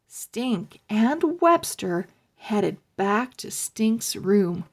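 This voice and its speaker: A woman reading a children's book aloud in an expressive, deliberate storytelling manner. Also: mid-range voice. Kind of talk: read-aloud storytelling for kids.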